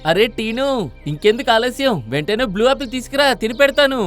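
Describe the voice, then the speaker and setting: A man's voice: extremely high pitch, expressive, quick pace, a bit bright. A man voicing a pushy male eagle in a children's cartoon story. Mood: eager. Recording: background music under the voice.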